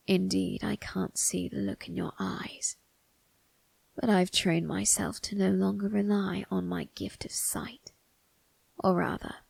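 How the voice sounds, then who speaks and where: Woman as a creaky, husky ancient undertaker. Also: mid-range voice, darkish tone, no echo, heavily acted. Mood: quietly knowing. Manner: slow, formal, teasing delivery with drawn-out tag questions. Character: eerie, teasing, ancient male undertaker, sly and amused. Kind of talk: ASMR character roleplay.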